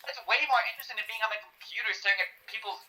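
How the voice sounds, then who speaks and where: A man playing an excitable, defiant, sweary researcher of cursed artifacts in a horror drama, with a halting, sardonic way of blurting things out. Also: high voice, poor audio. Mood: excited, sardonic. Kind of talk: horror roleplay dialogue on a call.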